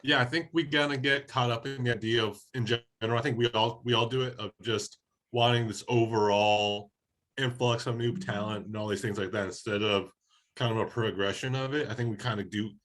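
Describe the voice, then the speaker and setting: A man from Oregon co-hosting a soccer podcast, talking in a relaxed, casual way while slightly hoarse from a head cold. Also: medium pitch, brisk. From Oregon, USA. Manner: laid-back, conversational, many fillers. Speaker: podcast co-host.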